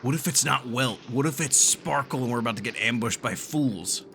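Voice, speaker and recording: A man's voice: medium pitch, moderate pace, sharp tone, a little hiss or hum. A man giving casual, reactive, joking commentary as he plays a video game. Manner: reactive, jokey. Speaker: gaming YouTuber. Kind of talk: casual let's-play commentary.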